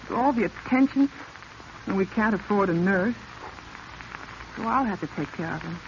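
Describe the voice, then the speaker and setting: A woman's voice: medium pitch, natural voice. Casting: female actor as frank, dissatisfied young woman, 1940s radio style, dulled hissy sound. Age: young adult. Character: discontented, outspoken young daughter of a professor. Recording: muffled, hissy vintage radio recording.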